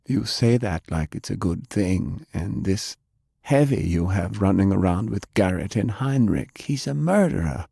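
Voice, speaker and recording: A man voicing a principled, weary older gentleman in an audiobook, speaking in a measured, disapproving manner. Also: low voice, expressive, creaky, breathy edge, no echo, studio quality, heavily acted. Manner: measured, reproving. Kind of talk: audiobook character acting.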